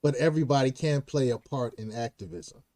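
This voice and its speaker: A man's voice: mid-range voice, brisk, mellow. A man hosting an interview show with enthusiasm, exclamatory and slangy, repeating phrases for emphasis. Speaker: talk show host.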